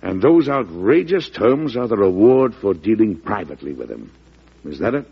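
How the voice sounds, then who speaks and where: Stern American president, lively swooping delivery, husky voice, 1940s radio drama, dulled old broadcast sound. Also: male voice, on the low side, normal speed, highs cut off, exaggerated voice. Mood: firm indignation. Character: stern, stubborn, wry older American president.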